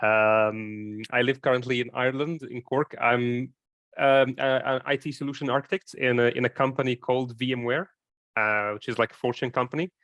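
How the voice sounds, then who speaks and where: A man's voice: mid-range voice, fairly bright tone, smooth, dry recording. A man from Egypt, a startup founder, introducing himself in a live online Q&A and talking hesitantly, with many fillers and restarts.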